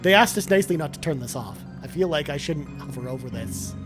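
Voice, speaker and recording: A man's voice: fairly high pitch, lively intonation, fast. Upbeat, joking man host, faint music bed. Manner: enthusiastic, joking, hosting. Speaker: stream host. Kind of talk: casual chat.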